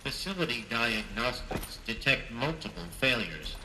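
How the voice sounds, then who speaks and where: A man's voice: mid-range voice, big pitch swings, unhurried. A man voicing a polite, impassive facility computer in a video game, making formal announcements. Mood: impassive, courteous. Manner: formal, courteous, procedural. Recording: clear background ambience noise.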